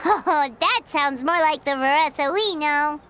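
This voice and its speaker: A woman voicing a tiny, excitable, always hungry fairy companion in a video game, with exclamatory delivery. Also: high voice, a little slow, slight background noise. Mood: excited. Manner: refers to self in third person, exclamatory. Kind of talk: animated game dialogue acting.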